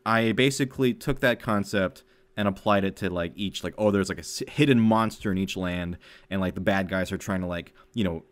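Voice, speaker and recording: A man's voice: low-pitched, a little fast, smooth, no room sound. A man chatting casually as a podcast host and reading aloud, explaining things in a hedging, self-deprecating way. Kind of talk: casual chat and reading old fan fiction aloud.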